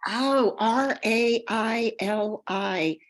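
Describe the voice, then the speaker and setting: A woman storyteller on a video call, answering feedback in a hesitant, halting way and laughing often. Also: a little high. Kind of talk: casual feedback chat.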